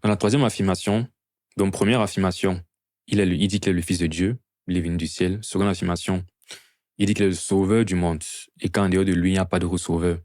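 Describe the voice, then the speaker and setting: A man's voice: low-pitched, fairly even intonation, fast, a little mellow, dry recording, clean studio sound. A man preaching an evangelistic sermon to a gathering in an earnest, teaching manner, often posing rhetorical questions.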